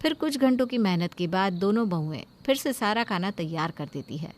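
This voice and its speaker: A woman tells a scripted cartoon story as narrator. The pitch of her voice is medium. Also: animated, moderate pace, slight background noise.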